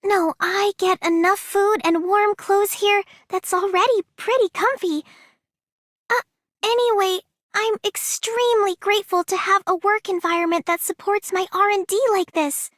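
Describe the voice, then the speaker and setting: A woman's voice: extremely high pitch, fairly even intonation, moderate pace, a bit bright, dry recording, studio quality. An actress voicing an earnest, grateful, slightly flustered young female inventor in a video game. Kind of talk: video game character voice lines.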